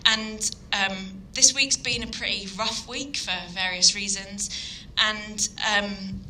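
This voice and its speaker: A woman with a Yorkshire accent preaches an evening sermon in an earnest, halting way, with plenty of ums. Her voice is very bright and sharp. A faint hiss of noise sits behind her. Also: medium pitch, steady tone, low-quality recording. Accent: Yorkshire English. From Yorkshire, England. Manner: earnest, hesitant with frequent ums. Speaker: guest speaker.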